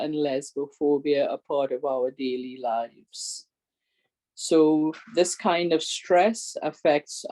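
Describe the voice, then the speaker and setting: A woman's voice: deep voice, dark tone, poor audio. An older woman with a big, strong voice gives an earnest community awareness talk and makes repeated, pleading requests to her listeners. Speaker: presenter and advocate.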